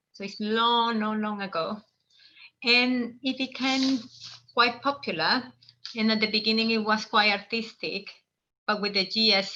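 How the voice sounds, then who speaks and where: Bright-voiced woman hosting a craft lesson. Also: fairly high pitch, dull audio. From El Salvador. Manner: friendly, explanatory, meandering with restarts. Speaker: workshop host. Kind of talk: live online craft lesson.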